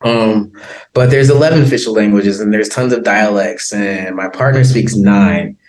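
An American man chatting casually as an interview guest, laughing often and filling pauses with ums and likes. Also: lowish voice, a little mellow, roomy sound, poor audio. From United States. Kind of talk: casual conversation.

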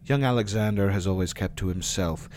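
A man's voice: low-pitched, fairly quick, darkish tone, slight background noise, close to an everyday voice. A man playing a courteous, genteel doctor and scientist in a sci-fi audio drama. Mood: genial. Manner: genteel, formal.

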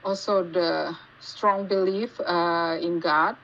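A woman with an Indonesian accent giving casual interview answers, haltingly searching for words as she goes. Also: fairly low pitch, steady tone, fairly bright tone. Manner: halting, searching for words in non-native English. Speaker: podcast guest, geologist. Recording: dull lo-fi call with faint noise.

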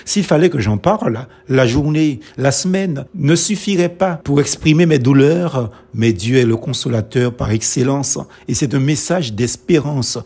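A man whose first language is a Creole, preaching a sermon in short, measured, emphatic phrases. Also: mid-range voice, darkish tone, breathy edge.

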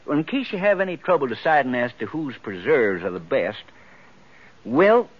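A man's voice: medium pitch, big pitch swings, moderate pace. An actor playing a wheedling, pushy small-town man in a 1950s radio western, folksy and insinuating. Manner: folksy, insinuating, hems and haws. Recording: muffled 1950s radio recording.

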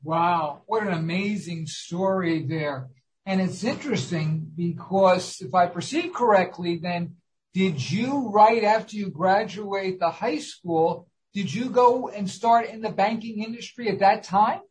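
A man's voice: sits high, a little slow, a little mellow, low-quality recording. A man hosting a talk show, interviewing a guest in an earnest, formal, scripted-sounding manner. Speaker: host.

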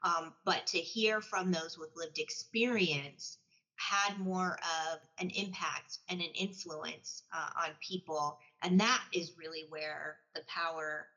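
A woman and former supervisor speaking in a measured, thoughtful way in a panel discussion. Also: lowish voice, fairly even intonation, sharp tone, rough recording.

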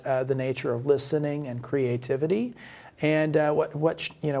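A man with a fairly high voice hosts a conversational podcast. His phrasing is halting, and he often interrupts himself to rework a sentence. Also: darkish tone. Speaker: podcast co-host, professor.

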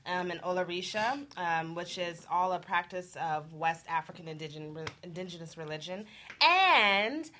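A woman speaking as a panel guest, introducing herself in a halting, self-correcting way. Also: lowish voice, lively intonation, bright, forceful tone, a little hiss or hum.

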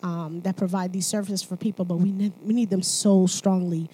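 A woman's voice: fairly low pitch, steady tone, moderate pace, warm tone. A woman from Haiti speaking at church, mixing sermon and personal testimony in a conversational, emphatic manner, often checking in with listeners.

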